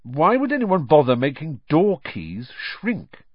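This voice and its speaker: An eager, cheeky teenage lad voiced by a man reading a storybook. The delivery is breezy and matter-of-fact, lifted in pitch and lively. The recording is slightly dull. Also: slow, no room sound, exaggerated voice.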